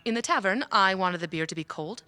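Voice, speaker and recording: A woman playing a patient, scholarly mage in a fantasy audio drama, speaking in a measured, formal, instructive way. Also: mid-range voice, animated, pushed and bright, clear, resonant tone, no echo. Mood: patient, serious. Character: scholarly female mage patiently teaching magic. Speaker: voice actor.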